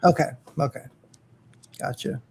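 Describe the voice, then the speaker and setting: A man's voice: measured pace, slight background noise. A man co-hosting a casual geek chat show, stammering and trailing off as he hunts for words. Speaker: co-host.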